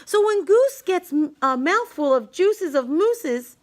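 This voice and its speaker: Lady with a really high voice slowly reading a rhyming kids bedtime book out loud. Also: lively intonation. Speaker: storybook reader. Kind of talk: scripted read-aloud of a rhyming children's bedtime story.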